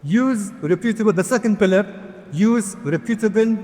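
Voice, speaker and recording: A man's voice: high voice, normal speed, a little mellow. A man giving a conference presentation, earnest with touches of light humor, asking for the next slides. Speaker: conference speaker. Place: large conference hall. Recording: long hall reverb.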